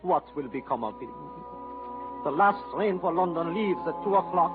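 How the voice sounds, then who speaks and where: A man with a French accent playing an excitable, loyal manservant in a radio drama, full of theatrical laments. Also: a little high, moderate pace, lightly acted. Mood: despairing. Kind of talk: 1940s radio drama acting. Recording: tinny old radio broadcast with music.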